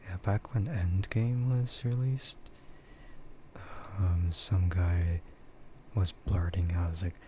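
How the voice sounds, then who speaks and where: A soft-spoken man chatting casually and haltingly in a laid-back ASMR livestream style. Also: low voice, fairly even intonation. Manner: chuckles often while talking. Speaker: VTuber livestreamer. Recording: muffled audio with clear background noise.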